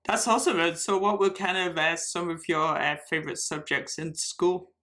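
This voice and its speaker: A man's voice: on the high side, steady tone, normal speed, pushed and bright. A man hosting a casual tea podcast interview, upbeat and chatty, often stammering and restarting his questions. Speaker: podcast host.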